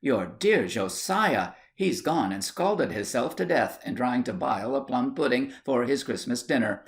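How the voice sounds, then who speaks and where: A man's voice: mid-range voice, expressive, unhurried. A man voicing a gossipy old aunt in a storybook tale, homely, rambling and dramatic. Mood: gossipy, grave.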